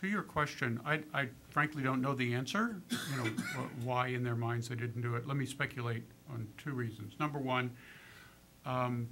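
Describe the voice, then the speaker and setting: Hesitant man on a policy panel. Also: mid-range voice. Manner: hesitant, many ums and uhs, measured. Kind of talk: panel discussion.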